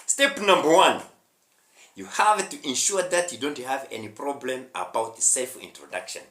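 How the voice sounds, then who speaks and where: A man's voice: mid-range voice, expressive, normal speed, a bit bright, echoey room. Emphatic Rwandan man teaching English. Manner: emphatic, checks in with "huh?" and "hmm?". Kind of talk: spoken English lesson.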